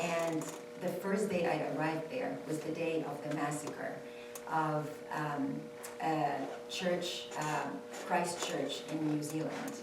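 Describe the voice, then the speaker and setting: A woman's voice: low-pitched, background noise. A woman raised in Saudi Arabia, speaking as a panelist at a temple and telling her story in earnest, repeating phrases for stress. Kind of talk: personal testimony at a panel. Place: temple hall. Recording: very echoey hall from far off.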